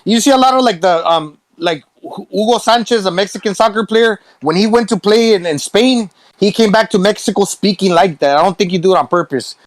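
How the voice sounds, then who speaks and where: A man's voice: high voice, brisk, hardly any pauses, no echo. A man on a podcast telling thoughtful anecdotes in casual chat.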